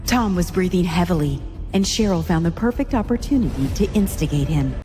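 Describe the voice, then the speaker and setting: Mellow woman narrating an audio story. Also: lowish voice, lively intonation, brisk, long reverb tail. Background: music.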